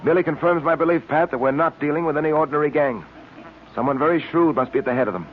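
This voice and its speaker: An actor playing a brisk, shrewd, commanding police detective in an old radio drama, decisive and clipped. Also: male voice, fairly high pitch, natural voice. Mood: grim, deductive. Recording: old narrow-band radio recording with hiss.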